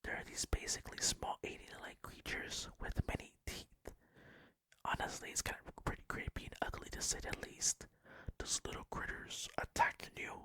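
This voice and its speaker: A man whispering breathily and deliberately into a close microphone as he hosts an ASMR gaming commentary. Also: bright, forceful tone, dry recording. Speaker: gaming video host.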